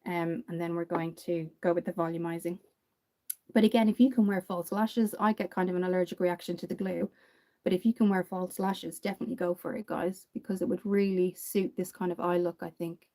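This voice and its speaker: Young Irish woman, Dublin accent, chatty livestream makeup host, low mellow voice. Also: steady tone, a little fast. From Ireland. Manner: chatty, reads and answers viewer comments. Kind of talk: casual livestream makeup tutorial.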